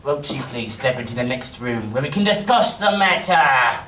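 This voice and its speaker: A man acting out a pompous, oily official who haggles over an orphan, his voice rising and falling a lot. He is heard from far off in a roomy hall with a clear hiss. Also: medium pitch, animated, moderate pace, sharp tone. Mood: wheedling. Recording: distant roomy stage recording, hiss.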